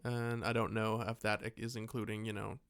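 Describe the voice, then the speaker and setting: Clear-voiced man chatting about movies, dry close sound. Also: on the low side, flat delivery, brisk, fairly bright tone, no echo. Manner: rambling storyteller, voices imagined dialogue; joking, sarcastic asides. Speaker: podcast co-host. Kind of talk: casual movie discussion.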